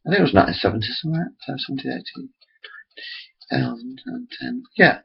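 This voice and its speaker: A man's voice: mid-range voice, animated, fast, creaky, slightly rough, lo-fi sound. A man in his forties rambling chattily to his webcam, interrupting himself often. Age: 40s. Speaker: vlogger. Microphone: built-in computer camera mic.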